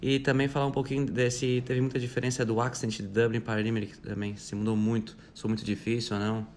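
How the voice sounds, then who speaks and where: A man's voice: medium pitch, level tone, a little fast, darkish tone, a little hiss or hum. A man hosts a podcast, asking the guest friendly, curious questions. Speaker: podcast host. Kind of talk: casual podcast chat.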